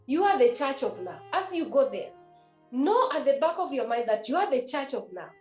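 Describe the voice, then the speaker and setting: Nun, high voice, earnest and repetitive preaching to youth; roomy echo, faint music underneath. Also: female voice, sing-song, moderate pace. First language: a Kenyan language. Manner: earnest and repetitive, with many hesitations. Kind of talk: exhortation to young people.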